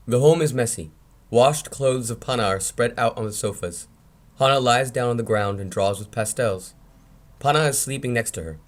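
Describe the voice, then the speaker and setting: A man reading screenplay scene descriptions as narrator in a table read. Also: lowish voice.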